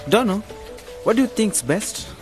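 A man's voice: high voice, very animated, moderate pace, faint music behind. A man playing an eager, informal youngster in a radio drama. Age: young adult. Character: lively youngster, keen would-be community broadcaster.

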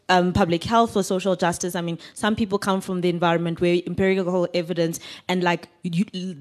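A woman's voice: lowish voice, darkish tone. A woman asking an informal, discursive question from the floor at a forum, hedging as she goes.

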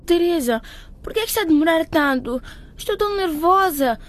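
A woman's voice: extremely high pitch, animated, brisk. A woman acting as a nervous, distraught character in a radio drama, fretful and exclaiming. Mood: nervous, despairing.

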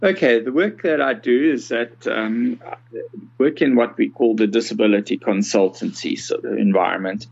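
Older south african guy in his 60s, very creaky deep voice, chatting in an interview, slightly muffled audio. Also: normal speed, a little mellow. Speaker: podcast guest, accessibility consultant. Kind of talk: conversational interview answers.

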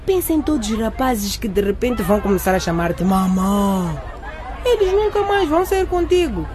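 Lively, worldly schoolgirl friend, acted by a woman. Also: medium pitch, moderate pace, crowd noise, noisy background, lightly acted. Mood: persuasive, pushy. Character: worldly, cynical teenage schoolgirl, bossy confiding best friend. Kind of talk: radio drama acting.

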